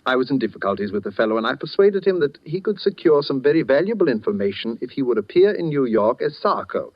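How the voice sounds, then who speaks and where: An actor playing a courteous, cunning master criminal in a 1940s radio thriller, polite and apologetic with silky menace. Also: male voice, medium pitch, big pitch swings, fast talker, lightly acted. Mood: pleased, confiding. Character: suave, courteous, cunning male master criminal posing as director. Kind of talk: 1940s radio suspense acting. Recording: dull, lo-fi 1940s radio broadcast.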